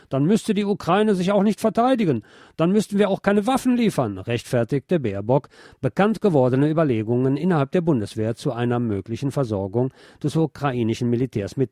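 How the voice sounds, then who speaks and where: Mellow-voiced man reading news over music. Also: mid-range voice. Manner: sardonic asides, emphatic repetition. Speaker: radio show host reading and commenting on press. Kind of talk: news article reading with spoken commentary.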